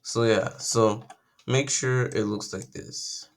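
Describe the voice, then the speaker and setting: A young man, croaky from just waking up, talks through a casual coding tutorial at his computer, thinking aloud and correcting himself as he goes. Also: lowish voice, fairly even intonation, slow.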